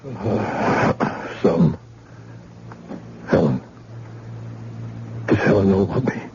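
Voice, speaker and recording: An actor playing a wounded working-class plumber lying in a hospital bed, speaking weakly and hesitantly in old-time radio drama style. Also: male voice, low voice, animated, drawn-out words, a little mellow, slight background noise, heavily acted. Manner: weak, hesitant, gravelly. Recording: dull lo-fi old radio recording.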